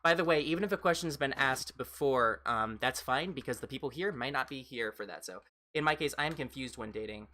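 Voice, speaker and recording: A woman with an American accent and a fairly deep voice, chatting casually as a livestream host and replying to viewers in a rambling, reassuring way. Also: fairly quick, sharp tone. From United States.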